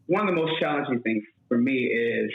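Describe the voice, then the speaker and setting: A man from New York, a guest talking about his flight attendant job in a relaxed, plain-spoken way. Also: medium pitch, brisk, gravelly. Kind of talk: casual interview talk. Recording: echoey room, dull lo-fi audio.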